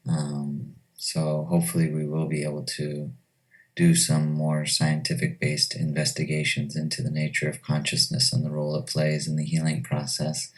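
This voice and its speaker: A man giving reflective, measured interview answers, pausing often as he thinks. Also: extremely low pitch. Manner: measured, thoughtful, hesitant with pauses. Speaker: retreat director being interviewed. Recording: slightly distant microphone.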